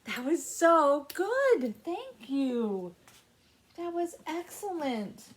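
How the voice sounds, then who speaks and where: A middle-aged woman with a fairly high voice guides parents through a baby story time. She speaks slowly, in a sing-song, encouraging way, giving simple instructions as a children's librarian would. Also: big pitch swings, noisy background. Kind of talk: instructional talk to parents with songs and rhymes. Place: small indoor room with children's alphabet backdrop.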